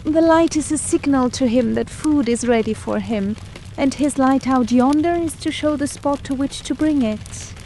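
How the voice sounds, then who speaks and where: A woman's voice: high-pitched, normal speed, warm tone, a little hiss or hum, voice pushed up, rough recording. A woman voicing a distraught, imploring middle-aged housekeeper confessing to her employer. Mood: anguished. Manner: anguished, imploring.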